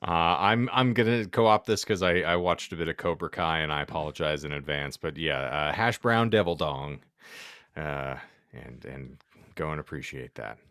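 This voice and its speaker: A man's voice: rumbling low, moderate pace, a bit bright, clean tone, no echo, studio quality. A man hosting a comedic podcast, wordy and theatrical, rambling through florid, alliterative phrasing. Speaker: podcast host.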